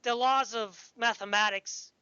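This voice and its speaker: Woman, higher-pitched, opinionated and emphatic conversational delivery with a pedantic streak; dry, slightly muffled lo-fi sound. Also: fairly even intonation, measured pace, sharp tone, clean tone, no room sound. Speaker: podcast speaker discussing fantasy. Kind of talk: opinionated casual discussion.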